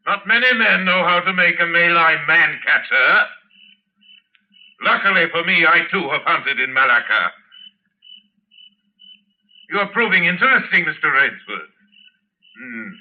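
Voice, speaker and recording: A suave, sinister aristocrat who hunts men in an old radio play. His high voice swoops with theatrical, mocking courtesy, drawing words out on a dull vintage recording. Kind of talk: 1940s radio acting.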